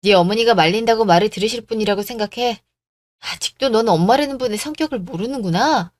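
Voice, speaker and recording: A woman acts out an indignant young fiancée in a radio drama. She is blunt and scolding, with a fairly high voice in a dry recording. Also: fast talker, no room sound, lightly acted. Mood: reproachful. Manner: blunt, scolding, rhetorical questions. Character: indignant, firm young woman breaking off her engagement.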